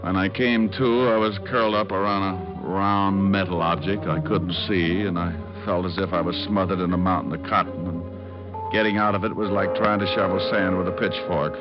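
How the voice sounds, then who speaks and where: A man's voice: deep voice, fairly even intonation, normal speed, lightly acted. A man playing a cocky, tough private detective in a 1940s radio drama, with a wry, clipped delivery. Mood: pained, wry. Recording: muffled old-time radio recording, music behind.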